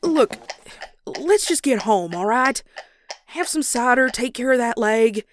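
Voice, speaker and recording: Woman voicing a slow-drawling Southern farm pony mare. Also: on the high side, animated, a little hiss or hum. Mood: worried. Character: feisty, folksy farm pony mare, blunt and fretful. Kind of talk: cartoon comic dub with scripted narration. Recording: music behind the voice.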